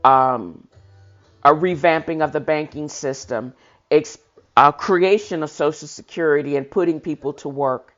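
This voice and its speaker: Dull lo-fi recording of a halting reader. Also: medium pitch, fairly bright tone, faint music behind. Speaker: host of a reading show. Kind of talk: reading a historical speech aloud with informal commentary. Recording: dull lo-fi sound.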